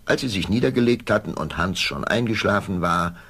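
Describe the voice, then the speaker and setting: A man narrating a scripted fairy tale for an audio play in a gravelly voice. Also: low-pitched, expressive, fairly quick, a bit bright.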